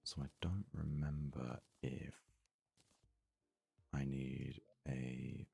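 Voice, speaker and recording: A man giving soft-spoken ASMR game commentary in an unhurried, hesitant way, as if thinking aloud. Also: rumbling low, little pitch movement, gravelly, breathy edge, faint music behind. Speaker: gaming video host.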